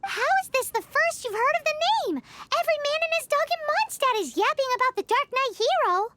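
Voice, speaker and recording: Tiny female sprite companion, very high squeaky voice, bouncy and sing-song, chattering and teasing, game character. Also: heavy background noise. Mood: surprised. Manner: excitable, teasing, quick to chatter.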